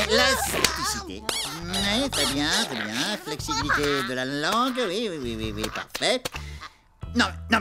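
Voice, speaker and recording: An actor voicing a brisk, fussy veterinarian with a muttering, clinical manner in a children's cartoon. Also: medium pitch. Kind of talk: children's cartoon dub acting. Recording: loud music under the voice.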